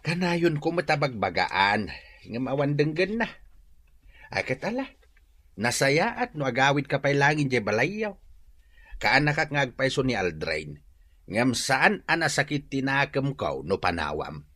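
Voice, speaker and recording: A man's voice: mid-range voice, huge pitch swings, measured pace, a bit bright, dry recording, full character voice. A man with an Ilocano accent playing an exasperated, plain-spoken older uncle in a radio drama, scolding and emphatic. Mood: exasperated, advising.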